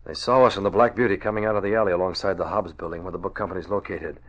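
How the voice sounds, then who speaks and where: An actor playing a calm, assured male hero who plans a crimefighting scheme, in a dull old radio recording. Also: low-pitched, very fast. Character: calm, confident male publisher and masked crimefighter. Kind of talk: 1940s radio acting.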